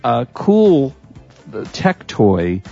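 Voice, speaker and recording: Gravelly, mellow, low-voiced man co-host. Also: sing-song, extremely slow. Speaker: radio co-host. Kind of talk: casual tech talk radio chat. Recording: slightly dull radio stream, faint music.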